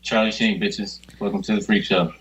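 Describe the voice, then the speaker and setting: A man co-hosts a podcast, chatting casually with a lowish voice. He talks bluntly and with emphasis, and swears freely. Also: flat delivery, moderate pace, fairly bright tone, lo-fi sound, slight background noise. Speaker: podcast co-host. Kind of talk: casual chat.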